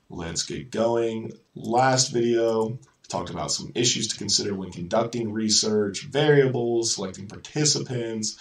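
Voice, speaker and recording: A man's voice: on the low side, gravelly, far from the mic. A man presenting an educational video, reading lesson notes in an informal way and often correcting himself with casual asides. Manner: informal, self-correcting, repeats words.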